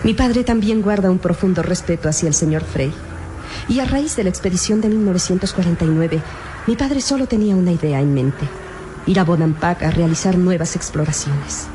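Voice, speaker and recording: A woman's voice: fairly low pitch, fairly quick, warm tone, lightly acted. An actress playing an earnest young woman, a devoted daughter, in a radio serial, speaking formally. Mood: earnest, admiring. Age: young adult. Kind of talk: old radio serial acting. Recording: noisy old radio serial, vehicle drone.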